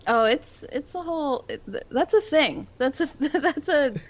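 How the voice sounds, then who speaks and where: A woman's voice: sits high, animated, a little slow. A woman co-hosting a podcast, casually discussing fiction in an earnest, thoughtful, informal way. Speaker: podcast co-host. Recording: dull lo-fi audio.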